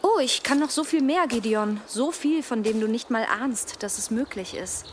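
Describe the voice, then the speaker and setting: Woman acting a high-voiced, seductive demon woman. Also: expressive, moderate pace, very noisy, close to an everyday voice. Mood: ominous calm. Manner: teasing, imperious, menacing. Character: eerie godlike undead demon woman, seductive and commanding. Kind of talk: German radio drama acting.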